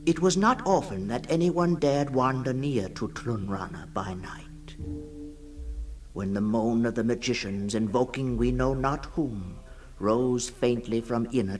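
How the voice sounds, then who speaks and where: A male storyteller narrates dark fantasy at a very slow pace. His delivery is solemn and portentous, with deliberate pauses between short phrases. Faint music sits behind the voice. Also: medium pitch, expressive. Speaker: story reader. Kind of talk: scripted dramatic narration of a fantasy tale.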